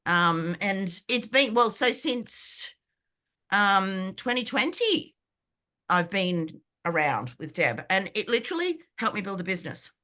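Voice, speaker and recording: A woman in her fifties, croaky from a sinus infection, chatting enthusiastically as a guest on a video call, with lots of fillers and restarts. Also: medium pitch, big pitch swings, a little slow, pushed and bright. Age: 50s. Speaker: brand foundations coach. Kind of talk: guest on an online group call.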